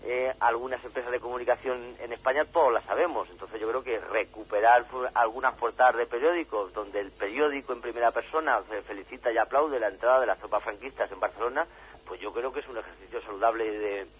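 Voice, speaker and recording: A man, a journalist and author, answering in a radio interview, halting with many fillers and restarts before rushing ahead. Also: medium pitch, husky. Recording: muffled lo-fi radio audio with faint hum.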